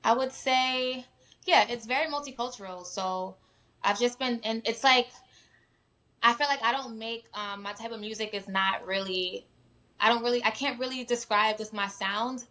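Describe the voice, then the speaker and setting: Bright-voiced young woman from New York. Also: a little high, moderate pace. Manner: relaxed, many fillers like "um" and "like". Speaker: guest musician. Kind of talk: casual interview chat. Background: sirens at times.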